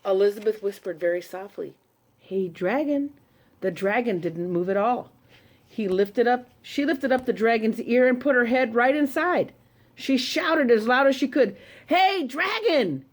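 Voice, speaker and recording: A woman telling a children's story to a congregation, expressive and animated. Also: on the high side, normal speed. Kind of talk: reading a children's story aloud.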